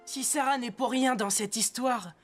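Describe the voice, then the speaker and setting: A level-headed teenager from a ranch cartoon , voiced by a woman. The voice sits a little high and stays measured and reasoning. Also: over music, lightly acted. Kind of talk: cartoon dub voice acting.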